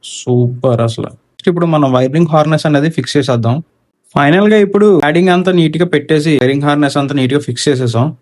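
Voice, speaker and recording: A man's voice: on the high side, rapid-fire, a little mellow. A man with a Telugu accent giving a casual hands-on product walkthrough as a gear reviewer, mixing in English technical words. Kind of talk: casual unboxing and installation walkthrough.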